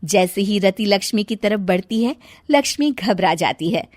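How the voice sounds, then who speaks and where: A woman narrating a scripted story in an expressive storyteller's manner. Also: mid-range voice, normal speed, dry recording, clean studio sound.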